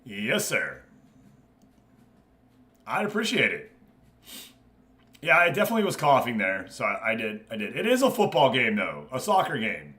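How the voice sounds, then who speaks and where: A man's voice: mid-range voice, huge pitch swings, normal speed, pauses often, sharp tone. A man hosting a game livestream, chatting casually with viewers and commentating on matches in an informal way.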